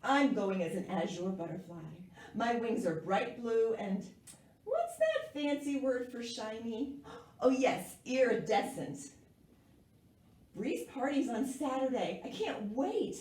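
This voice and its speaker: A woman's voice: a little high, very animated, slow pace, darkish tone. A woman voicing a dramatic, precocious little girl who loves fancy French words in a children's picture book. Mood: excited, proud. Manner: theatrical, precocious. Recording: echoey room, distant microphone.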